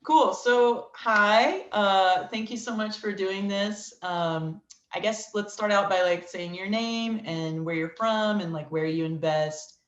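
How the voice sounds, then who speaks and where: Female interviewer, mid-pitched, informal and encouraging, roomy muffled call sound. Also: slow pace, hardly any pauses. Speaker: interviewer, real estate investor. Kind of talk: casual video interview chat. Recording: echoey, dull lo-fi call audio.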